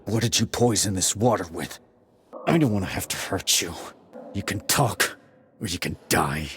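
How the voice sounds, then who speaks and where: An actor playing a terse, cool-headed sniper operative in a video game, with clipped, controlled military delivery and a low husky voice. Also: male voice, animated, moderate pace, fairly bright tone, slight background noise. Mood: menacing, interrogating. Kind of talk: military radio chatter, game dialogue.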